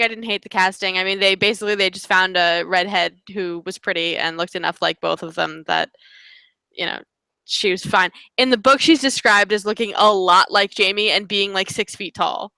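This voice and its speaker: A young woman co-hosting a casual TV recap chat, emphatic and quick to repeat herself for effect. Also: medium pitch, pushed and bright, no room sound, rough recording. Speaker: co-host.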